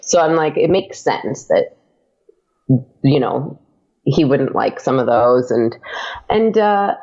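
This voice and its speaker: A woman's voice: extremely low pitch, lively intonation, slow, dark tone. A young adult woman chatting as a podcast guest, laughing often and using many fillers. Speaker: podcast guest, audio drama creator. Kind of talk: casual interview chat. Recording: dull lo-fi podcast audio.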